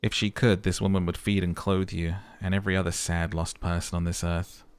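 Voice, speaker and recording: A man's voice: low voice, flat delivery, a little fast, smooth. A man giving playthrough commentary and narration as a game streamer, with music under his voice.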